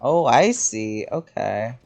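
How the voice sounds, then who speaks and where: A man's voice: medium pitch, very animated, takes it slowly, creaky, a little mellow. A man giving casual gameplay commentary as a streamer, rambling and reactive, swearing casually. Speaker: game streamer. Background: game music.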